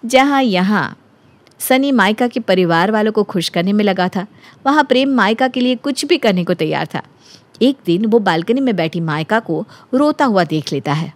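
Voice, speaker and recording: A woman's voice: medium pitch, lively intonation, normal speed, no room sound. A woman narrating a scripted story as its storyteller.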